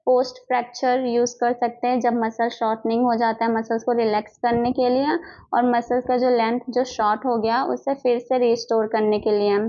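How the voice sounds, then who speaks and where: Indian lady tutor, kinda high voice, reads notes then explains again, repeats stuff, dull lofi audio. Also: dark tone. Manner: repetitive step-by-step explaining with check-in tags. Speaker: physiotherapy teacher. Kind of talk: explanatory lesson mixing read-out notes and explanation. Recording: dull lo-fi microphone sound.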